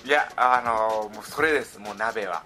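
A man's voice: on the low side, moderate pace, pushed and bright, soft background music. A man hosting a casual comedic podcast chat, conversational. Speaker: podcast host.

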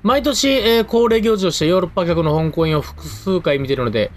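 A man's voice: sits high, fairly quick. A man co-hosting a radio show, moving between casual banter and reading listener mail. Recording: background music under the voice. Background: music.

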